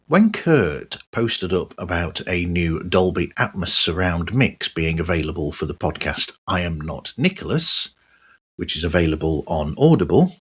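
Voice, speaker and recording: A middle-aged man giving a conversational solo podcast monologue in measured, halting short phrases. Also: deep voice, husky. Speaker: podcast host.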